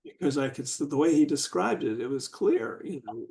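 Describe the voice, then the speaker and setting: A man in a casual group discussion, talking haltingly and searching for words with many restarts. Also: on the high side, lively intonation, vocal fry, dark tone.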